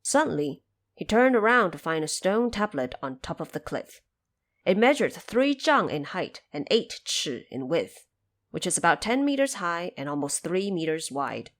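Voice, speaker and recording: Low-pitched, highly expressive woman storyteller. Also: normal speed. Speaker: narrator. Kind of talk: scripted storytelling narration with explanations.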